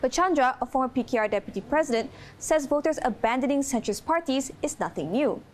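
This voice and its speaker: Higher-pitched woman reporter, some background noise. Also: expressive, fairly quick. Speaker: news reporter. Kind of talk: scripted report narration.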